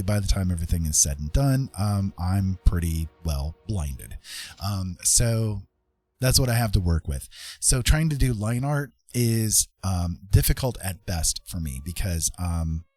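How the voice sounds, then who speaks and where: A man chatting casually as he hosts an art livestream, rambling along in a self-deprecating, folksy way with a husky voice. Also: fairly low pitch, darkish tone, no echo. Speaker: livestream host and digital artist. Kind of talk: casual livestream chat while drawing.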